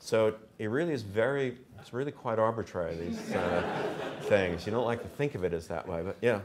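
A man in his fifties speaks from an auditorium podium as an author at a reading. His voice sits fairly low, and he talks informally in halting phrases with a wry, self-deprecating manner. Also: heavy background noise. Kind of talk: informal anecdotal talk to an audience. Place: wood-panelled auditorium stage. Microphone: podium microphone and lapel mic.

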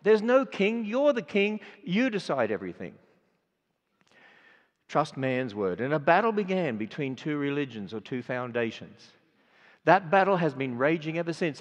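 An older man with an Australian accent preaching a sermon, stressing words and swinging between loud and quiet. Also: fairly high pitch. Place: large auditorium. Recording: dull sound with long hall reverb.

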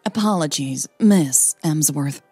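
A woman's voice: deep voice, slow pace, mellow, husky, dry recording, soft background music, exaggerated voice. A woman voicing a formal, apologetic male restaurant host in an audio story. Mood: deferential.

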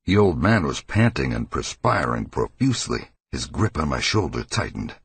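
Super low husky guy narrating a scary audiobook, measured and formal, really dry sound. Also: sing-song, no echo. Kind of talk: scripted horror story narration.

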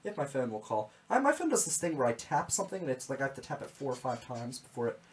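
A man's voice: mid-range voice, very animated, fast talker, background noise. A young man hosting a casual radio show in a small studio, talking loosely and repeating his words, his voice slightly strained. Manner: rambling, repeats words when starting sentences. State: slightly strained voice after nearly losing it. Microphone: studio mic on boom arm, with headphones.

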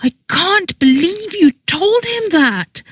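A wry, self-deprecating heroine acted by a woman narrator in an audiobook. She exclaims emotively and is quick to exasperation, lifted in pitch, with a dry, close sound. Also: darkish tone, no echo, lightly acted. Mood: mortified.

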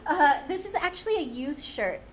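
A young woman leads a live-streamed craft demonstration. Her voice sits high and girlish, and she chats in a playful way and teases herself. A faint hiss runs under the small indoor studio sound. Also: very animated, moderate pace. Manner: chatty, playful, self-teasing. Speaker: craft demo host. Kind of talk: live-streamed product demo.

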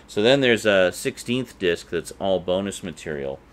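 A man's voice: sits low, animated, normal speed. A middle-aged man, chatting informally and unscripted as he hosts a review vlog. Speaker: video host reviewing collectibles. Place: small indoor room with shelves. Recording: clearly noisy room recording.

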